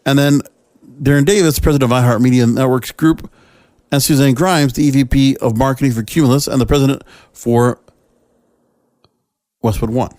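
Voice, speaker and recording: A man's voice: medium pitch, steady tone, moderate pace, pauses often, darkish tone, no echo, studio quality. A man hosting a podcast solo, giving opinionated commentary in a conversational, emphatic, somewhat rambling way with frequent check-ins to the listener. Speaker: podcast host. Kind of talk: opinionated solo commentary, part reading from notes.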